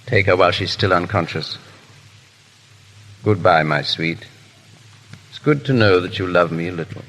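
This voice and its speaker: Suave English aristocrat, echoing hall. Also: male voice, low-pitched, moderate pace, a bit bright. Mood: tender, solemn. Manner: urbane, drawling, theatrically polite. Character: suave English aristocrat hero, playfully foppish, unflappable. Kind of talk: 1930s radio acting. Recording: reverberant 1930s radio broadcast.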